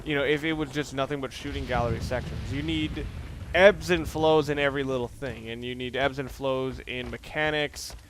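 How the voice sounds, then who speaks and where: A man's voice: medium pitch, steady tone, normal speed. A man giving casual, off-the-cuff video game commentary, rambling and musing as he plays and swearing casually. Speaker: let's play gamer. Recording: loud constant background noise.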